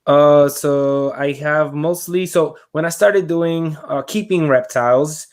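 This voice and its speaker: A man's voice: fairly high pitch, slow pace. A man from Puerto Rico chatting casually as a podcast guest, with loose, informal, hesitant phrasing. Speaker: podcast guest, reptile keeper. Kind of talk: casual chat.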